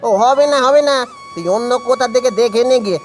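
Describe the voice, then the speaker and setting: A man's voice: very high voice, a little fast. A man voicing a stingy village fish seller in a cartoon fairy tale, brusque and irritable. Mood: irritated refusal. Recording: music under the voice.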